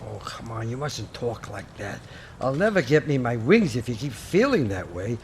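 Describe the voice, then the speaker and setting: A quaint, eager old-fashioned angel. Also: male voice, mid-range voice, very animated, normal speed, a little mellow, a little hiss or hum. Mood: gentle. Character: gentle, fussy, old-fashioned male angel. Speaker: radio actor. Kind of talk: 1940s radio acting.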